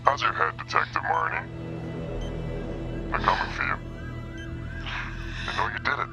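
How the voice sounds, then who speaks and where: A menacing, taunting man in a crime game. His mid-pitched voice is gravelly, with loud music behind it. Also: lively intonation, moderate pace. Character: menacing, taunting male accuser. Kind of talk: dramatic video game acting.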